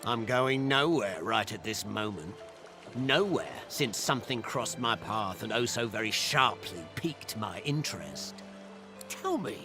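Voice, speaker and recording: An actor playing a menacing, sadistic inquisitor in a video game, speaking in an ornate, taunting, theatrical way. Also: male voice, medium pitch, vocal fry. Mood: sinister, probing. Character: sadistic, menacing male inquisitor hunting sorcerers.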